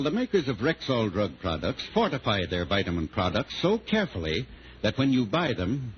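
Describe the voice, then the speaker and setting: An actor playing a folksy, reassuring druggist in a 1940s radio advert. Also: male voice, mid-range voice, lightly acted. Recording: muffled 1940s radio, faint hiss.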